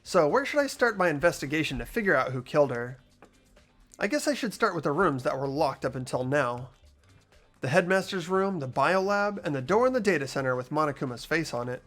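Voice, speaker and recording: Man as inquisitive schoolboy game protagonist, earnest, expressive intonation, slightly high voice, light music underneath. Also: a little fast. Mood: earnest, thoughtful. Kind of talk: acted visual novel dialogue and inner narration. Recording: music behind the voice.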